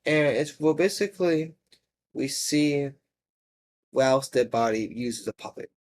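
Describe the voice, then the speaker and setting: A man giving casual horror video commentary, rambling and halting as he rephrases his thoughts mid-sentence. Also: medium pitch.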